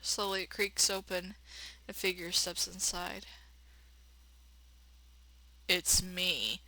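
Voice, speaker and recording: A woman's voice: lowish voice, flat delivery, slow, bright, forceful tone, poor audio. A woman reading a game dramatically and adding casual, slangy, irreverent commentary as a let's play host.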